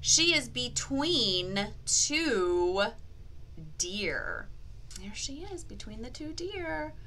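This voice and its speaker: Lady doing a kids lesson, high lively voice, slow pace, asks questions and cheers them on, really playful. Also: a little hiss or hum. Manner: exaggerated, playful, encouraging child-directed delivery with drawn-out key words. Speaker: children's teacher and host of a read-aloud language activity. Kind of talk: interactive lesson for young children, asking questions and praising answers.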